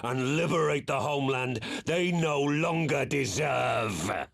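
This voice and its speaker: An actor playing a fanatical, embittered rebel commander in a fantasy game, with a grandiose, theatrical delivery. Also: male voice, mid-range voice, creaky, a bit bright. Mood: fierce, contemptuous. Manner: grandiose, bitter, slow dramatic declamation.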